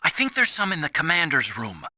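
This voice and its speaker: An actor voicing a timid, soft-hearted young male scientist in a video game, earnest and anxious as he explains things. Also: fairly high pitch, huge pitch swings, fairly quick, exaggerated voice. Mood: helpful.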